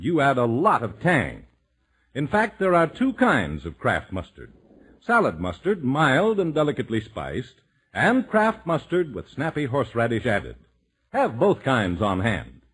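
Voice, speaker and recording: Male vintage radio pitchman: husky tone, hugely expressive swoops, sales delivery. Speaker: commercial announcer.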